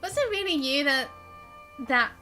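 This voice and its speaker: Bright, high-voiced woman live streaming. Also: lively intonation, normal speed, faint music behind. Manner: giggly, musing aloud, frequent "like". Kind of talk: casual live gameplay commentary.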